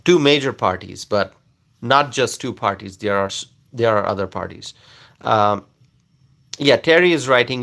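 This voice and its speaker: Man, earnest and bright-voiced. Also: medium pitch. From Bangladesh. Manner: earnest, lecturing, many fillers and restarts. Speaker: political commentator answering viewers. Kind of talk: casual livestream talk.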